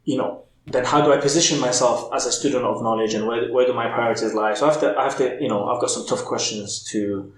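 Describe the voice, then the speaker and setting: A man's voice: medium pitch, fairly even intonation, quick pace, a little hiss or hum. A man from England, a podcast guest thinking aloud candidly, often restarting his sentences. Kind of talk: casual interview chat. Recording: roomy echo.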